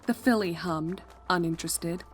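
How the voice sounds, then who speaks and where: A woman's voice: on the low side, moderate pace. A woman reading scripted story narration as the narrator of a fan fiction.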